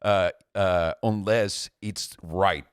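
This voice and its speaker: A man's voice: deep voice, steady tone, drawn-out words, smooth, no room sound, studio quality. A man, co-hosting a podcast in a chatty, joking way. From Mexico. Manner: joking, talkative, laughs often. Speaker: podcast co-host. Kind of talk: casual chat.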